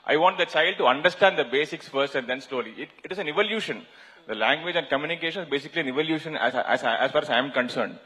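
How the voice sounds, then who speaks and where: A man with an Indian English accent answering a panel question, halting often with fillers and restarts. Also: fairly high pitch, bright, forceful tone. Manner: hesitant, many fillers and restarts. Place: large conference hall. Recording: reverberant hall.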